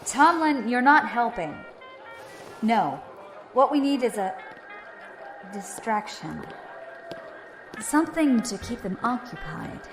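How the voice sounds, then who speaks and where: A woman acting in an audio drama as a wry, decisive mission leader who gives brisk orders. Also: on the high side, big pitch swings, over music, heavily acted. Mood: focused, dry.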